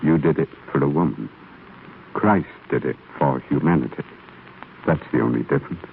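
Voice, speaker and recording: An actor playing a solemn, devout, fatherly stonecutter with measured, reverent delivery in a 1930s radio drama. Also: very deep voice, vocal fry. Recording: scratchy, muffled 1930s radio broadcast.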